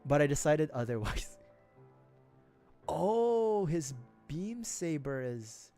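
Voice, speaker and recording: Young Filipino-accented male streamer, slightly high-pitched, giggly and rambling, faint music behind. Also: very animated, mellow. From Philippines. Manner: rambling, giggly, quick asides. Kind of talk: casual livestream chat.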